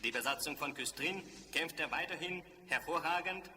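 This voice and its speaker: A man's voice: a little high, normal speed, lightly acted. A man playing a formal German wartime state radio announcer, reading an official 1940s news bulletin. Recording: distant, noisy, reverberant old radio broadcast.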